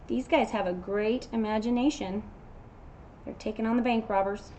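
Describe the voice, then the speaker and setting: A woman's voice: a little high, fairly even intonation, moderate pace, warm tone. Middle-aged American woman, noisy recording. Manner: encouraging, conversational. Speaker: elementary teacher reading aloud. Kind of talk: casual read-aloud talk to children. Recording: clear background noise.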